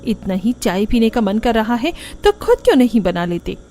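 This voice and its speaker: A woman's voice: a little high, very animated, moderate pace, warm tone, faint music behind. A woman voicing an assertive, irritable elder sister-in-law in a cartoon story. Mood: grumbling.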